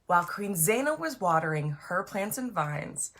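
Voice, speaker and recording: A woman reading her storybook aloud to children in an animated, encouraging way, addressing young listeners directly. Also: medium pitch, moderate pace, slight background noise.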